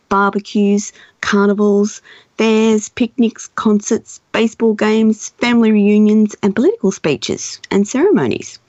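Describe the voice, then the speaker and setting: A woman radio host with a croaky voice, chatting and then reading out a history piece. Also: medium pitch, darkish tone, no echo, muffled recording. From Australia. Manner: chatty, reads facts aloud steadily. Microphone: studio microphone.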